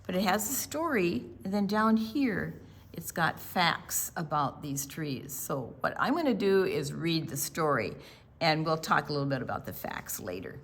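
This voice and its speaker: An older woman reading aloud and explaining things to young children in a deliberate, teacherly, expressive way. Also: sits low. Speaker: children's storytime presenter.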